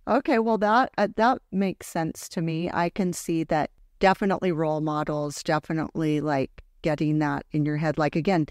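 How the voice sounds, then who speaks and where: A woman's voice: low voice, steady tone, normal speed, few pauses, a little mellow, no room sound, clean studio sound. Chatty middle-aged woman podcast host. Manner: chatty, affirming, leads with questions. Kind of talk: casual interview chat. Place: podcast studio. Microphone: headphones and studio microphone.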